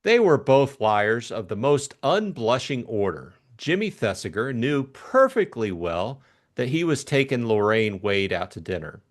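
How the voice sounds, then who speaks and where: A man narrating a classic mystery novel as scripted, expressive audiobook reading. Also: medium pitch, a little slow.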